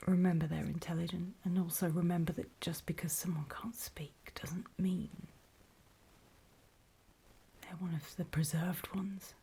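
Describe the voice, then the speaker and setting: A woman playing a grave, weary apocalypse survivor in a horror ASMR roleplay, speaking in a soft, breathy, hesitant whisper. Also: lowish voice, steady tone, moderate pace, exaggerated voice. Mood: cautioning. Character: grave, weary female apocalypse survivor briefing others on zombies.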